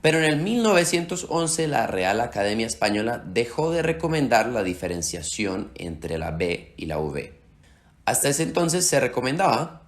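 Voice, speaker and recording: Man, native Slovak speaker, Spanish teacher, lively rising and falling pitch, slows down on example sounds. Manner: slows down to spell out sounds clearly. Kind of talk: explanatory language lesson.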